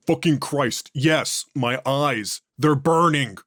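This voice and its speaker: A man's voice: medium pitch, unhurried, a bit bright, dry recording, studio quality. A man voicing a foul-mouthed male prisoner who answers his interrogator in an agitated, profane way. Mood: panicked.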